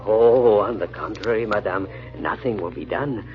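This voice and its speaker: An actor playing a fretful, gossipy French innkeeper with hesitant, courteous talk in a 1940s radio drama, on a muffled old recording. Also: male voice, lowish voice, moderate pace, natural voice. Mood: gossipy, resigned.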